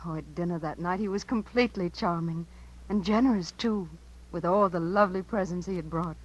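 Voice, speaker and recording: A woman's voice: on the low side, brisk, lightly acted. An actress playing an earnest, restless small-town girl in a 1950s radio drama. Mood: wistful, intimate. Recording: faintly noisy 1950s radio broadcast.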